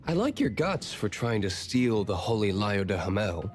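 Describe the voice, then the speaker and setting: Stern game tavern owner, guarded, blunt, cynical, varied intonation, music behind. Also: male voice, lowish voice, sing-song, normal speed. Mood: cool, appraising. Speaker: video game character. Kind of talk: scripted game voice acting.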